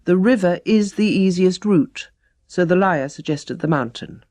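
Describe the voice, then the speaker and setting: A woman reading teaching stories as scripted narration, measured and deliberate. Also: lowish voice, a little mellow, no room sound. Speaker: storyteller reading teaching tales.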